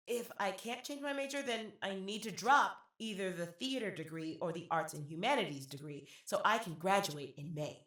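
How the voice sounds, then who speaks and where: A young woman playing a stressed, self-questioning theater student in a dramatic monologue, with theatrical, deliberate delivery. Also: mid-range voice, fairly bright tone, no echo, studio quality. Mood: frazzled, pleading. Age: young adult. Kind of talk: dramatic monologue in an audio play.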